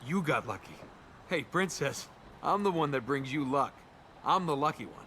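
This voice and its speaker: An actor playing a cocky, wisecracking young adventurer in a video game. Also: male voice, on the high side, expressive, normal speed, fairly bright tone, slight background noise. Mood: cocky banter. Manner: wisecracking, flippant. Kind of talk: video game voice acting, bantering dialogue.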